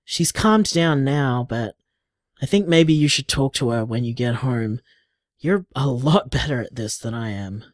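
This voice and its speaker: An actor plays a devoted partner in an audio drama, leaving intimate voicemails in a mid-pitched voice. The delivery is candid and conversational, with lively swings of pitch, recorded close and dry. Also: unhurried, dry recording, lightly acted. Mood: worried, frustrated. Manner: candid, tender, conversational, sighing, mild swearing. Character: affectionate, emotional partner leaving voicemails for lover.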